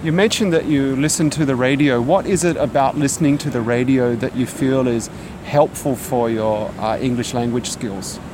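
Male interviewer, measured probing questions, mid pitch, noisy background. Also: fairly even intonation. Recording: background noise.

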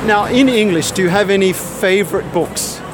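An interviewer asking calm, plain follow-up questions in a street interview. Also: medium pitch, normal speed. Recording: traffic noise outdoors.

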